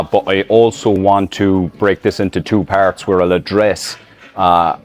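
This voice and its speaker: Deep-voiced Irish man, rambling fitness chat. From Ireland. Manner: loose, rambling, many fillers and restarts. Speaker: fitness coach. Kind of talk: casual talking-to-camera advice. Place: outdoors in public, filming selfie-style. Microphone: phone camera in selfie mode.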